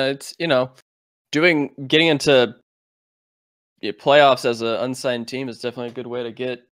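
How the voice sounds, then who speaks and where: A man's voice: medium pitch, fairly bright tone, clean tone, dry recording. Chatty man, dry close sound. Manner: quick reactions and chatty questions. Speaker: podcast co-host. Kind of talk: casual interview chat.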